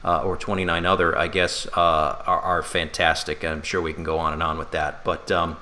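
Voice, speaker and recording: Casting: male sports broadcaster, low bright voice, casual and conversational co-host delivery with some halting restarts. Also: little pitch movement.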